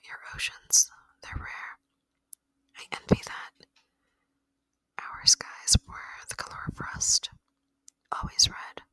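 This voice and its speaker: A woman voicing a gentle, lonely, curious alien in a soft, wistful, intimate ASMR roleplay whisper. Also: no room sound, low-quality recording. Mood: wistful, dreamy.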